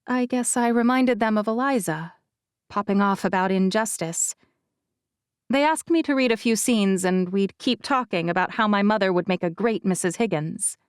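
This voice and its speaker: A woman voicing a guarded, self-effacing young actress in an audiobook, telling her story in a measured, confiding way. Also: mid-range voice, clean tone, no room sound, studio quality, natural voice. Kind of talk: audiobook character dialogue.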